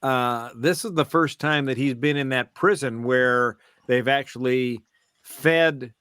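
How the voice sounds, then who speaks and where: A man co-hosting a casual podcast chat, halting now and then as he searches for words. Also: mid-range voice, fairly bright tone, clean tone, no room sound, clean studio sound. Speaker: podcast co-host.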